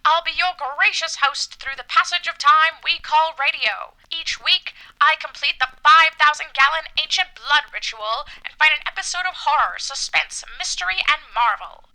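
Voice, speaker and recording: A woman's voice: high-pitched, normal speed, hardly any pauses, pushed and bright, rough recording. A woman hosting a spooky audio drama show, reading a scripted introduction in a theatrical, macabre manner. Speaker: podcast host.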